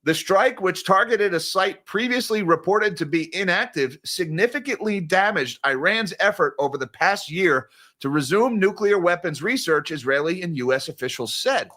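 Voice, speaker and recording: A man hosting a live-stream news commentary, talking in an animated, exasperated, ranting way. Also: high-pitched, normal speed. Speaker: host.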